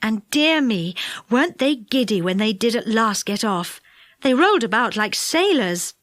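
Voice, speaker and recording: A woman reads a children's storybook aloud with expressive flair. Her voice sits fairly high and swings widely in pitch, and the recording is dry and close. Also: moderate pace, dry recording. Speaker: audiobook narrator. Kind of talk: scripted children's storybook narration.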